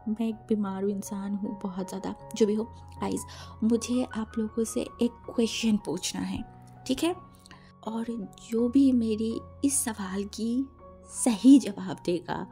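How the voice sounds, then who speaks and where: An Indian woman with a hoarse, cold-affected voice tells a story casually and chattily, mixing Hindi with English and repeating words for emphasis. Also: medium pitch, dark tone. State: sore throat from a cold. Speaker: storyteller, channel host. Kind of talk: casual spoken fan fiction narration.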